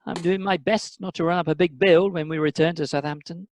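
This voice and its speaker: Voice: medium pitch, fairly even intonation, a little fast, a little hiss or hum, close to an everyday voice. An amateur actor playing a pompous, thrifty English retired civil servant husband, fussy and superior, in a radio comedy. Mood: pompous, satisfied.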